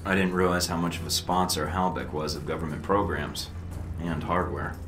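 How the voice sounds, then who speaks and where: A man's voice: deep voice, steady tone, moderate pace, close to an everyday voice. An American man playing a tired young secret agent in a video game, talking in a dry, wry, understated way. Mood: weary, dry. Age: young adult. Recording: roomy sound with music underneath.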